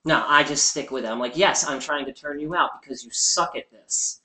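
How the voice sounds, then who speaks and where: A man's voice: on the high side, normal speed, bright, forceful tone. A man co-hosting a podcast, adding dry jokes to a crude casual chat. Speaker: podcast co-host. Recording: echoey room.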